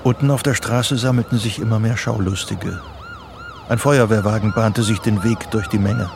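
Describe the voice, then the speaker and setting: An actor narrating a psychological thriller radio play as a sober storyteller. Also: male voice, on the low side, wildly swooping pitch, normal speed, background noise. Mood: sober, suspenseful. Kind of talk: scripted literary narration.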